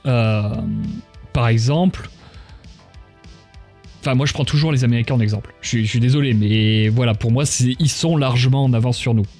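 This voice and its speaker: A man with a mellow, soft-edged voice in a casual livestream conversation. He speaks colloquial opinion, blunt and emphatic. Light music plays behind him. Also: mid-range voice, normal speed, pauses often.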